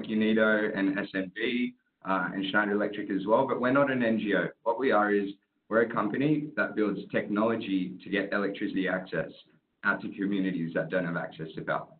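A man giving a casual, upbeat webinar presentation over a video call, often tagging on "right?". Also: lowish voice. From Australia. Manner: casual, upbeat, tag questions. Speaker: startup presenter. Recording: tinny call audio.

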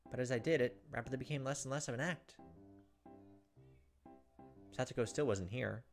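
A man's voice: medium pitch, normal speed, faint music behind. A man in his thirties hosting a gameplay video, expressively reading a visual novel's narration aloud.

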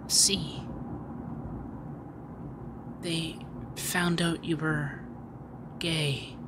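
Casting: sweet, flustered rich femboy, acted by a man, soft-mannered and hesitant, unhurried ASMR roleplay, clear background noise. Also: fairly high pitch. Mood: gentle, concerned. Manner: hesitant, flustered, gentle, stammering. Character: shy, sweet young male femboy heir and CEO. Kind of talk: ASMR roleplay audio drama.

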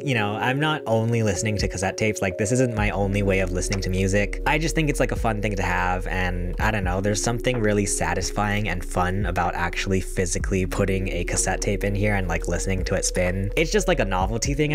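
A man's voice: lowish voice, noisy background. A young man chatting casually and upbeat to his viewers as a vlogger, informal and slangy, close on a desk microphone in a small bedroom. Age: young adult. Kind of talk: casual chat to viewers about a collection. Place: small bedroom studio, indoors. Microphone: desk microphone on boom arm, close.

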